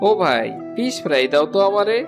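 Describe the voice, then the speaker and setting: A kindly woodcutter shopkeeper, played by a man in a cartoon dub. The voice sits fairly high for a man, earnest and plain-spoken, and music plays clearly behind it. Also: big pitch swings, fairly quick. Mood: firm. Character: humble kind-hearted male woodcutter shopkeeper. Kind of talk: cartoon dubbing. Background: background music.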